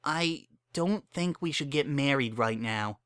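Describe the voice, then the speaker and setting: A woman's voice: rumbling low, expressive, a little slow, a bit bright, clean tone, dry recording, exaggerated voice. A woman voicing a timid, anxious young female pony in a dramatic story reading, hesitant and pleading. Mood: anxious, reluctant.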